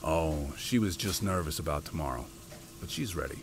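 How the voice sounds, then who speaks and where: Guy playing a low voiced calm dad, ex spook type, audio drama, rumbly noise behind him. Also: normal speed. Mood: gentle. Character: devoted father, calm veteran vault intelligence monitor.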